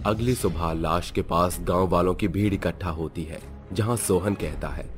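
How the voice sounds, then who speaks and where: A man's voice: low-pitched, moderate pace, soft background music. A man narrating a scripted horror story as its storyteller.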